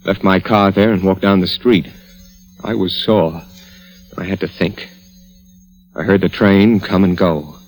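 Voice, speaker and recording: An actor playing a guilt-ridden, frightened husband in trouble, with a troubled, confessional 1940s radio delivery. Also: male voice, low-pitched, steady tone, measured pace, pauses often, poor audio. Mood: ashamed confession. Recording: vintage radio recording with music.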